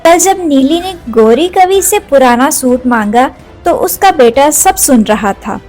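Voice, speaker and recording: A woman's voice: high-pitched, lively intonation, measured pace, a little mellow. A woman telling a scripted children's cartoon story as its narrator. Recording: faint music behind.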